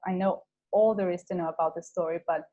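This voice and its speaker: A woman's voice: on the low side, steady tone, fast talker, warm tone, lo-fi sound. A woman hosting a webinar over a video call, giving housekeeping notes and an enthusiastic introduction of her guest. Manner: enthusiastic, gushing. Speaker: webinar host.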